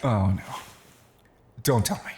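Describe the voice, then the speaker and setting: A man playing a cocky, flippant adventurer hero in an audio drama, quick with sarcasm. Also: long reverb tail, noisy background, close to an everyday voice. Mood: exasperated.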